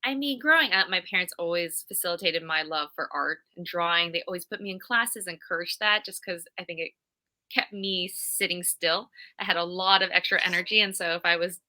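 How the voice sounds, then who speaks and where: Bright upbeat woman. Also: lowish voice, brisk. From California, USA. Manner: upbeat, fluent storytelling. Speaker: guest, creative director. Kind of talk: conversational interview.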